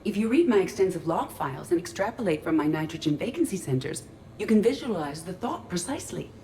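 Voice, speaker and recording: An actress playing a curious, literal ship's artificial intelligence in a video game, speaking precisely and formally with a deadpan delivery. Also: female voice, on the low side, fast. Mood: formal, earnest. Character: female ship artificial intelligence in android body, curious, literal, earnest. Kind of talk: video game dialogue acting.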